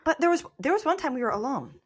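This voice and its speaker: A woman's voice: sits high, very animated, fast talker. A young adult woman talking casually and conversationally as a podcast co-host.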